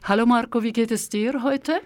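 A woman's voice: fairly high pitch, moderate pace. Hesitant woman hosting a radio talk. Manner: hesitant, with frequent fillers. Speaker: radio host. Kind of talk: radio interview.

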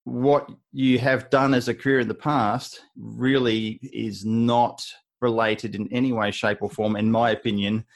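A man's voice: mid-range voice, little pitch movement, a little slow. A man hosting a business podcast, talking in a chatty, rambling way with many restarts as he introduces a guest. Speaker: podcast host. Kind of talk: podcast interview.

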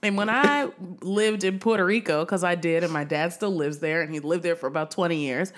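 A woman's voice: fairly low pitch, brisk. A woman co-hosting a podcast who chats casually and teases her partner playfully. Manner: playful, teasing interjections. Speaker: podcast co-host.

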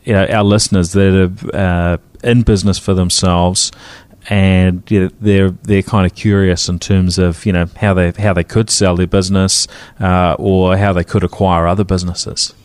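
A man's voice: sits low, little pitch movement, moderate pace, a little mellow, dry recording. A man from New Zealand hosting a business podcast interview, asking questions in a friendly way. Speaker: podcast host.

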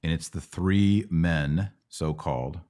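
A man's voice: deep voice, slow pace, dark tone. A middle-aged American man hosting a live Q&A, teaching informally in short, conversational pieces. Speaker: podcast host. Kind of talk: live Bible Q&A, casual teaching.

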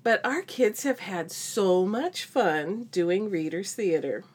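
This is a middle-aged woman with an average-pitched voice, co-hosting a podcast. She chats informally and shares little anecdotes. Her humor is wry and pokes fun at herself. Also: lively intonation, slow pace, darkish tone, husky. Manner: wry, self-deprecating humor. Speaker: podcast co-host. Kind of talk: casual chat and anecdotes.

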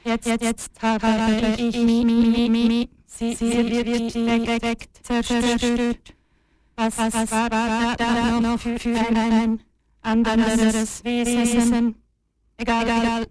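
A cold, pitiless female artificial intelligence from an audio drama, acted by a woman. The voice is fairly high and almost without melody, stuttering over words at a slow pace, with music underneath. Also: poor audio. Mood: cold, detached. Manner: stuttering, repeating words, flatly declaring.

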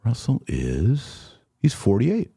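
A man's voice: mid-range voice, wildly swooping pitch, takes it slowly, creaky, mellow, husky, no echo, studio quality. An American man in his forties hosting a podcast, chatting casually and speaking straight to his listeners as he answers comments. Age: 40s. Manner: conversational, addresses listeners directly. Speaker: podcast host.

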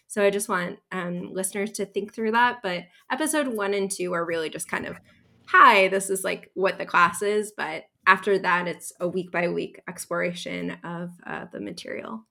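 Gravelly-voiced woman professor, low-pitched. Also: steady tone, moderate pace, sharp tone. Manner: thoughtful, many fillers and restarts. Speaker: professor and author, guest. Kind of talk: casual podcast interview.

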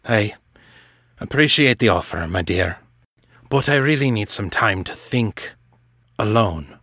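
A man voicing a weary older male scholar-mage in an audiobook, gentle and fatherly, with a low, subdued, halting delivery. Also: expressive, no room sound, clean studio sound, full character voice. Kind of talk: audiobook character voice.

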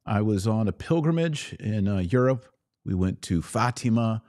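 A man with an American accent and a fairly low voice speaks as a podcast host. He gives conversational news commentary, punching short phrases for emphasis. The sound is dry and close, like a studio with little room reflection. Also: animated, moderate pace, a little mellow, dry recording. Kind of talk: live-streamed opinion commentary on news.

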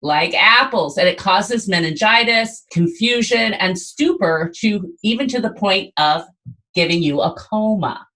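A woman's voice: medium pitch, lively intonation, measured pace, fairly bright tone, poor audio. A woman hosting a casual podcast quiz, explaining things in a conversational way. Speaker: podcast host quizzing a guest.

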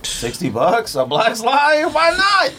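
A man with a high, forceful voice and hugely lively pitch. He banters casually and insistently, like someone who will not drop a question. There is faint noise and clicking on the recording. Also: slow pace. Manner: stubborn, insistent questioning, loud and laughing.

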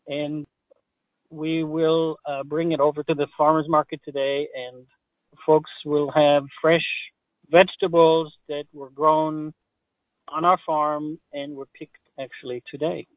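A man's voice: a little high, dry recording. Older Israeli man on a phone line. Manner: halting, with many pauses and fillers. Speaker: guest, urban farmer. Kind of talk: interview answers.

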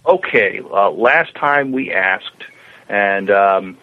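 Slightly dull, lo-fi audio carries a man's fairly low voice. He co-hosts a casual podcast and speaks in a hesitant, halting way. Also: lively intonation. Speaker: podcast co-host.

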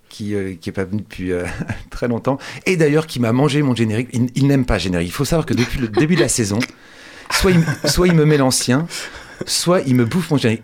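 A man hosting a conversational arts interview, speaking in halting, digressive phrases as he feels his way to each question. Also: medium pitch. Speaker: radio show host interviewing guests.

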